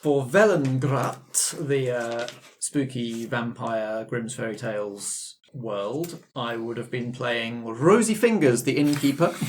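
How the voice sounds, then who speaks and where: A man's voice: medium pitch, slow pace, few pauses, faint music behind. A man chatting casually as a podcast cast member.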